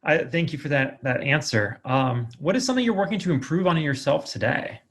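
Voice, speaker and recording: A man's voice: fairly high pitch, expressive, a little fast, fairly bright tone. A man hosting a podcast interview in an affirming, effusive, conversational way.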